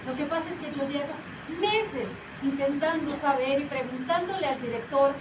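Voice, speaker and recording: An actress speaking straight to a theater audience in a chatty, playfully confused manner. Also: female voice, high-pitched, moderate pace. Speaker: stage actor. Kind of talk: theatrical direct address to the audience. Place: small theater with a live audience. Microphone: far camera. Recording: echoey room, far camera, audience noise.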